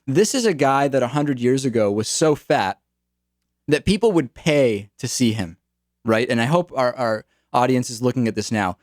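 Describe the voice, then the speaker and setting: A man's voice: medium pitch, expressive, moderate pace, no echo. Young male radio host, casual wry delivery, close dry mic. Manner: casual, wry, repeats words. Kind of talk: talk radio monologue.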